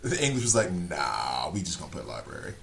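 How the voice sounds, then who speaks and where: A man's voice: sits low, wildly swooping pitch, a little fast, creaky, fairly bright tone, a little hiss or hum. A man streaming a game, chatting casually with viewers in a rambling, talkative way and reading chat aloud. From Switzerland. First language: not German. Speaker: gaming streamer.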